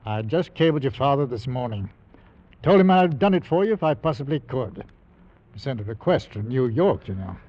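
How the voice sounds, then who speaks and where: A man's voice: medium pitch, animated, moderate pace, lightly acted. Firm fatherly sea captain played by an actor. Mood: firm, grave. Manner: firm, grave, authoritative. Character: stern but fatherly older ship's captain, immovable. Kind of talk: 1940s radio acting. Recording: dull vintage radio recording.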